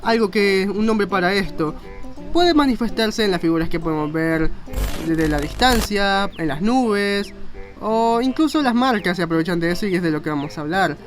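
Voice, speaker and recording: A man's voice: high-pitched, lively intonation, normal speed. A man narrating an informal educational explainer in a conversational, rambling way with self-mocking asides. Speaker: video narrator and graphic designer. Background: faint music.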